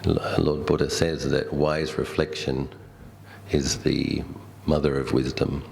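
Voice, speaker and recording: Casting: middle-aged Australian male, extremely low register, Buddhist teacher sharing personal anecdotes conversationally and reflectively, light background noise. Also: little pitch movement, slow, vocal fry, darkish tone. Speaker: Buddhist monk giving a talk. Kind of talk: dhamma talk with personal storytelling.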